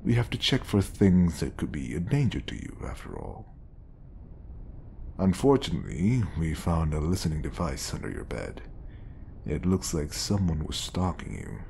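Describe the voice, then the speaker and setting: Deep creaky scheming bodyguard played by a man. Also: sing-song, normal speed, mellow, slight background noise, full character voice. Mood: earnest, persuasive. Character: smooth, scheming obsessive male bodyguard feigning professionalism. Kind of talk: audio roleplay acting.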